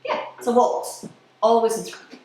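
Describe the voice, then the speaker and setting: A woman's voice: fairly high pitch, steady tone, mellow. Very echoey, distant woman lecturing. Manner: explanatory, halting pauses, counts beats aloud. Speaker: musician giving a talk. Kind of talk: live lecture with demonstrations. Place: indoor room with an audience. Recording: distant mic, very echoey room, faint noise.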